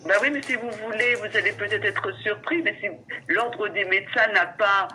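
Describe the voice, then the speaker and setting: Female adviser, bright forceful voice, formal but halting and conciliatory, phone call with faint noise. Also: mid-range voice, fairly even intonation. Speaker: legal adviser at a medical council.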